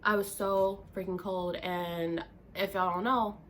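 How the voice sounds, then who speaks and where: Congested Southern woman, bright chatty vlogger. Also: lowish voice, little pitch movement, a little slow, slight background noise. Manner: chatty and playful, trails off with lots of ums and y'alls. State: congested, stuffed up. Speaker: YouTuber reading Reddit stories. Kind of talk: casual vlog chat. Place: home room, indoors.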